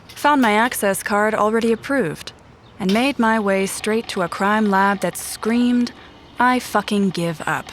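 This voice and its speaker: An actress playing a blunt, distrustful special agent in a noir audio drama, narrating and confronting in terse lines. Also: female voice, medium pitch, slight background noise, natural voice. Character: hard-boiled, distrustful female special agent. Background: background music.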